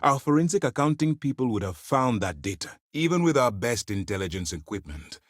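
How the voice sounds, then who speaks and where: An actor playing a stern, commanding space military commander in a video game, speaking in a formal, measured, authoritative way. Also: male voice, mid-range voice, very animated, no echo, studio quality. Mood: measured, resolute. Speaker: fleet commander.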